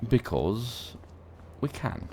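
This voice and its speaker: A man's voice: fairly low pitch, very animated, very slow, creaky, warm tone, a little hiss or hum. A man who is not a native English speaker gives casual gameplay commentary as a let's play host, thinking aloud with playful asides.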